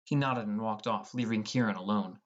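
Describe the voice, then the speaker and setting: A man's voice: lowish voice, fairly quick, highs cut off. A man reading scripted first-person narration as the narrator of an audiobook.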